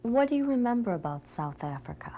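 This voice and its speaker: Lady interviewer, mellow warm tone, asks simple questions. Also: a little high, very animated, a little fast, a little hiss or hum.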